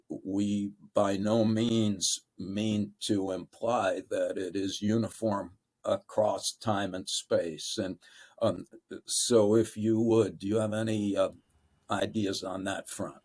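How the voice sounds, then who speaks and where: A man moderating a panel unscripted, with halting, careful, roundabout phrasing. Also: lowish voice, darkish tone.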